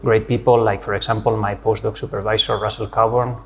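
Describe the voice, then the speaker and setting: A man's voice: fairly low pitch, flat delivery, quick pace, a bit bright, slight background noise. A middle-aged man from Spain with a Spanish accent, a physics professor answering interview questions in a friendly, explanatory way with frequent hesitations. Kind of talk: academic interview conversation.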